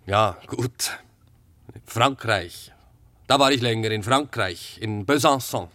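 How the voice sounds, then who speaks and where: An actor playing a taciturn former soldier in a radio drama, answering tersely and evasively. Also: male voice, fairly low pitch, slow pace, no echo. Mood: guarded, evasive. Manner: terse, flat one-word answers, evasive. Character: taciturn, guarded former soldier under questioning.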